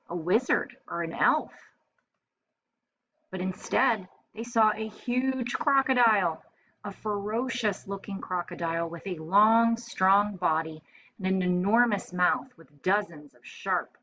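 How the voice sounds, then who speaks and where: A woman's voice: mid-range voice, bright, forceful tone. A woman teacher reading a storybook aloud to first graders, expressively and with simple explanations for young listeners. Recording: dull lo-fi home recording with faint noise.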